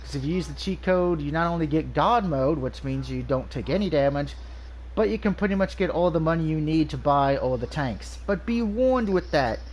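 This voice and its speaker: A man with a slightly high voice talks through a video game review in a loose, rambling way. He sounds nasal and stuffed up from allergies, pausing often on "um" and restarting his sentences. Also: lively intonation, a little mellow, a little hiss or hum. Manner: rambling, frequent ums and restarts. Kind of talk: casual game review over gameplay.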